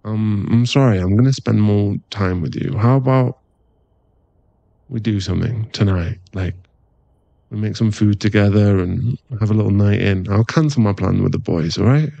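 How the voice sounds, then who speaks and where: A man acting as an affectionate werewolf boyfriend in a close ASMR roleplay. The voice is low and gravelly, very mellow and relaxed, with soft, coaxing, halting phrases. It sits dry and close to the mic. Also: no echo, highs cut off. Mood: apologetic. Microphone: close microphone.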